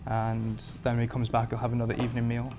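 Relaxed English chef, flat low-key voice. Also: male voice, fast talker, music in the background. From England. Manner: relaxed, rambling with many fillers. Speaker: performance chef. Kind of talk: interview answers. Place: modern home kitchen indoors.

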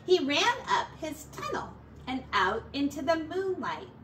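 A woman's voice: high-pitched, sing-song, a little slow, fairly bright tone. A middle-aged woman, a teacher, narrating a children's picture book expressively. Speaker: teacher reading aloud to children. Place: small indoor bedroom. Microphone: distant camera microphone. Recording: roomy, distant mic, faint noise.

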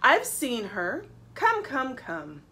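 Woman voicing an eager, bright, high butterfly. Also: huge pitch swings, slow, heavily acted. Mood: soothing, helpful. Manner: encouraging, sing-song. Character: helpful, eager, reassuring butterfly guide. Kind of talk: children's storybook read-aloud.

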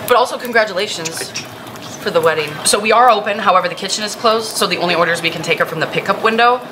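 A woman's voice: medium pitch, fast, noisy background, close to an everyday voice. A woman playing an anxious, apologetic fifteen-year-old shift manager in a comedy sketch, officious but earnest.

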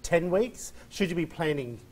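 A man's voice: a little high, animated, normal speed. A middle-aged man hosting a daytime TV show from a studio set, upbeat and chatty, on a lapel mic. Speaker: TV show host. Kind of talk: morning TV chat.

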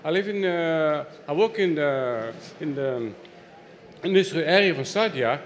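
A man's voice: on the high side, animated, a little slow. Chatty dutch man speaking from the floor. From Netherlands. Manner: chatty, loose phrasing. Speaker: audience member. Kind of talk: spontaneous remarks from the floor. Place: large indoor event hall. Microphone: handheld mic.

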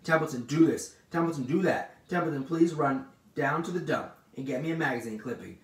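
A man's voice: a little high, a little fast, pitched above the performer's own voice, natural voice. A man voicing a lazy, self-pitying storybook rat, growling, sarcastic and full of mocking complaint. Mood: disgusted. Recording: roomy, distant mic with faint noise.

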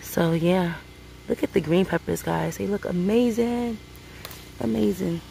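Gravelly chatty New woman outdoors. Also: mid-range voice, fairly even intonation, unhurried, mellow, slight background noise, poor audio. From Brooklyn, New York City. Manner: chatty, addresses viewers as guys. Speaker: homestead vlogger. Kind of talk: casual garden vlog. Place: outdoor garden in sunshine.

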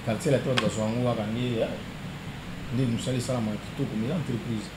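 A man's voice: medium pitch, steady tone, fast talker. A young man in his studio interview, speaking in a casual, hesitant way with many fillers, switching between two languages. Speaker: interview guest, singer. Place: studio set with wooden walls, indoors. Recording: echoey room with clear background noise.

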